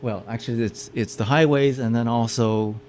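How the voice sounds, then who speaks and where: A man's voice: mid-range voice, steady tone, moderate pace, a little mellow. A man giving a public lecture with slides, often stopping to repeat his words as he explains. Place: meeting room with audience.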